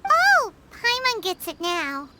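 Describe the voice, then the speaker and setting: Game fairy sidekick: tiny, squeaky, extremely high, blunt, very animated delivery over prominent music. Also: female voice, slow, heavy background noise, full character voice. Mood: blunt, curious. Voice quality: squeaky, childlike. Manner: refers to self in third person, blunt. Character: tiny floating childlike fairy companion, blunt and chatty. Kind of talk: video game character voice acting.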